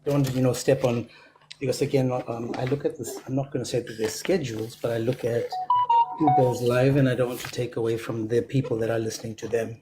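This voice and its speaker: A man's voice: medium pitch, fairly even intonation, brisk, music in the background. A man chatting casually on a livestream call over a noisy connection with clicks. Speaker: livestream participant. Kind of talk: casual chat. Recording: clearly noisy line with clicks.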